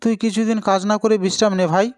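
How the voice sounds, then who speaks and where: A man's voice: sits high, level tone, racing, a little mellow, clear, resonant tone, voice pushed up, natural voice. A man voicing a concerned village friend in a cartoon story, talking in an anxious, familiar and urgent way. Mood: worried. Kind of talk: Bengali moral-story cartoon acting.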